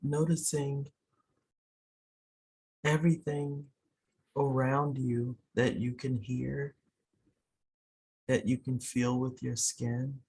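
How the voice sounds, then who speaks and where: Husky voice doing a guided meditation, really slow, soothing, lots of pauses. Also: medium pitch, little pitch movement, warm tone, rough recording. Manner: soothing, unhurried, pausing between short phrases.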